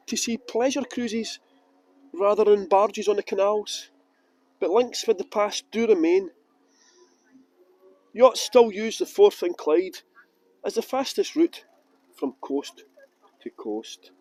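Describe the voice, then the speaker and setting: A man's voice: high voice, unhurried, pauses often, a little mellow, rough recording. A man from Edinburgh with a Scottish accent, guiding a tour group outdoors with jokey, playful commentary. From Scotland. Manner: jokey, playful mock pronunciations. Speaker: tour guide. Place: outdoors by a canal boat lift.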